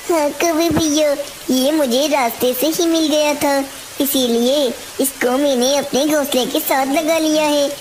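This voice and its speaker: A woman voicing a caring, hardworking mother bird in a cartoon story, with exclamatory, expressive delivery. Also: sits high, a little slow. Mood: calm, firm. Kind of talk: Hindi cartoon story dialogue. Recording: hissy, clearly noisy background.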